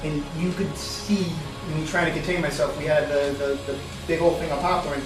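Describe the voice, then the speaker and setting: A man with a slightly raspy voice, chatting casually about movies as a podcast guest. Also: on the high side, fairly even intonation, normal speed, distant mic. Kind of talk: casual movie discussion with a friend. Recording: echoey room, off-mic, music underneath. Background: music.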